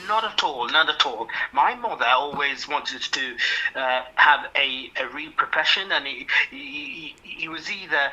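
An older man from Jamaica, recalling his past in an interview with a hesitant manner, often repeating words and chuckling softly. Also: fairly high pitch, animated, unhurried, low-quality recording. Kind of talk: reminiscing interview.

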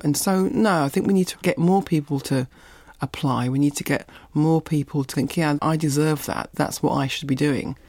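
A woman's voice: sits low, normal speed, a little mellow, dry recording. A middle-aged woman, from Barbados and raised in East London, speaking as an interview guest and telling reflective stories about her childhood. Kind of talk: reflective conversational storytelling.

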